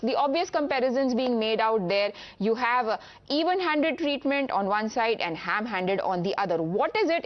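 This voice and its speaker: Indian woman news anchor, high-ish voice, pointed argumentative delivery, muffled broadcast sound. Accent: Indian English. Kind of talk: television news presenting. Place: TV studio.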